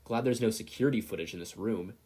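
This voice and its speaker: A man voicing a cold, rough male kidnapper with a gravelly tone in a story reading. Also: on the low side, fast talker, mellow. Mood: cold, smug.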